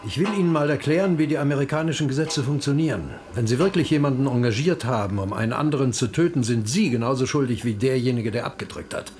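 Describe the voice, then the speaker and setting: Blunt, lecturing police interrogator played by an actor. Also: male voice, mid-range voice, fast talker, few pauses, darkish tone, faint music behind, close to an everyday voice. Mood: sternly lecturing. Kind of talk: radio crime drama acting.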